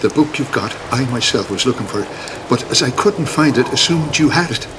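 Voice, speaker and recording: An actor playing a wry, brave priest in a video game, with an Irish accent and a dry, folksy, unflappable manner. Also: male voice, mid-range voice, expressive, fairly quick, close to an everyday voice. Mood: relieved, pleased. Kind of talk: video game cutscene acting. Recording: noisy, with rain behind.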